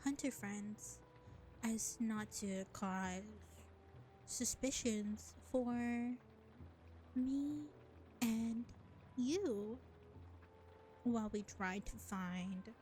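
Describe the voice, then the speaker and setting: A woman performs a world-weary vampire woman in an audio roleplay, with a fairly high, mellow voice. She speaks slowly and deliberately, condescending and exasperated with the human hunter she reluctantly helps. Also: a little hiss or hum. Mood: resigned, dry. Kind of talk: scripted audio roleplay addressed to the listener.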